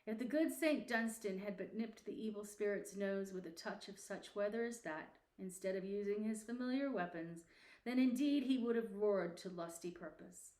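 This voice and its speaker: A woman's voice: mid-range voice, normal speed, darkish tone. Woman narrating a classic story, echoey room. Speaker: audiobook narrator. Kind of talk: expressive scripted storybook narration.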